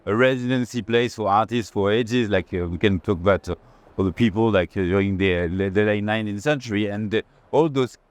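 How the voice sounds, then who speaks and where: A man's voice: lowish voice, no echo. A man with a French accent chatting casually on an art fair tour, informal and often searching for words. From France. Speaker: art institution director in conversation.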